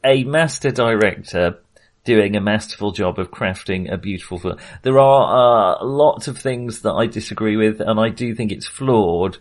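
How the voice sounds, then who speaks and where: A man's voice: fairly low pitch, normal speed. A man co-hosting a film podcast, talking casually in a hesitant, self-qualifying way with real enthusiasm.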